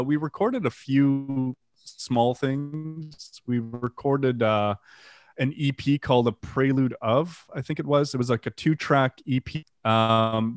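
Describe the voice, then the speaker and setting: A French Canadian man talks about his band in a relaxed, meandering way, full of "like" and restarts. His voice sits at an ordinary middle pitch. The recording is dry and close, with no room sound. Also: dry recording. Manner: rambling with fillers, self-deprecating, swears casually. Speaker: band vocalist and guitarist. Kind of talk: casual interview, storytelling.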